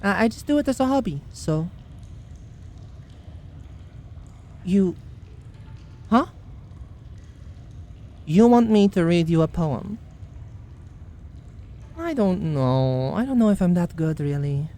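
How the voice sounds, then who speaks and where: A woman's voice: on the low side, very animated, measured pace, pauses often, dark tone. Woman playing a wry female bounty hunter. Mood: shy, hesitant. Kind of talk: fantasy ASMR roleplay acting.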